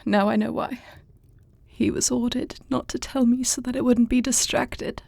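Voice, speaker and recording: Fantasy audio drama role for a woman: grieving warrior princess, soon queen, devoted and formal; mellow, slightly gravelly, recorded close and dry. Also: fairly high pitch, steady tone, moderate pace, no echo. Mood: composed, sorrowful. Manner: formal, earnest archaic phrasing. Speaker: voice actor in an audio roleplay. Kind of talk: fantasy audio roleplay, intimate dramatic acting.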